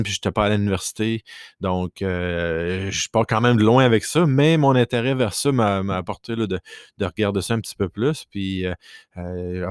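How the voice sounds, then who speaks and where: A young man from Quebec with a Québécois accent talks through his solo podcast at an easy, rambling pace, full of fillers and restarts. His voice sits low and comes through smooth and clear. The sound is dry and close. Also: darkish tone, no echo. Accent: Québécois French. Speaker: podcast host. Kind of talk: casual solo podcast talk. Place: home basement.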